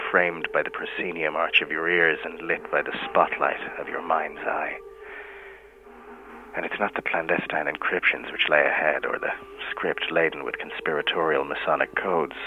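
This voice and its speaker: A man playing an ominous, pompous announcer, giving a grandiloquent, mock-portentous radio introduction. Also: a bass voice. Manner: grandiloquent, deliberate. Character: ominous, pompous male announcer warning the listener. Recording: tinny radio-like band with hum, noise.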